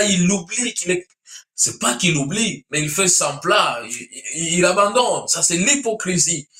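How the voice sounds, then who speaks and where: A man's voice: fairly high pitch, sharp tone, reverberant. A man, preaching and teaching emphatically, often repeating his phrases. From Central Africa. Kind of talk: sermon-like teaching in a religious discussion.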